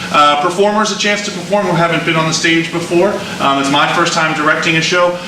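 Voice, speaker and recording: Quick-talking man moderating in an echoey room. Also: fairly high pitch, fairly even intonation. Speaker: play director moderating a discussion. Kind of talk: explaining and moderating. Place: echoing room, picked up from afar. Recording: echoey room, distant mic, noisy.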